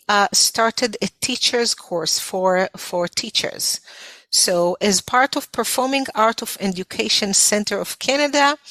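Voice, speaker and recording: A woman's voice: medium pitch, a bit bright, dry recording. Israeli-accented woman, dry close sound. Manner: earnest, reflective storytelling. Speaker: folk dance instructor, guest. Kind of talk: casual interview.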